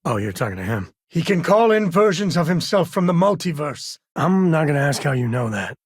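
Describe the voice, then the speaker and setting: A man's voice: on the high side, big pitch swings, fairly quick, husky, no room sound. A man voicing an easygoing, folksy space ranger and father in a video game, with a wry, casual drawl. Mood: playful.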